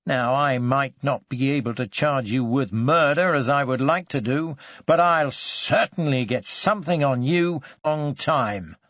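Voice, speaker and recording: A man voicing a stern, forceful police detective in an audiobook, with a deliberate, biting, hectoring way of talking. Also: mid-range voice, no room sound, muffled recording, lightly acted. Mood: angry, threatening.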